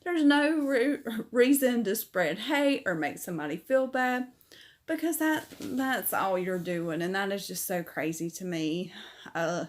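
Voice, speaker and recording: A woman's voice: mid-range voice, animated, unhurried. A middle-aged woman, chatting casually on a livestream as she reads viewer comments aloud and answers each one.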